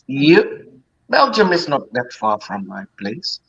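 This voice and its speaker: A woman's voice: rumbling low, big pitch swings, slow pace, gravelly, muffled recording. A woman with a Dutch accent, a native Dutch speaker, chatting casually as a guest and laughing between short replies. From Netherlands. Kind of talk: casual chat.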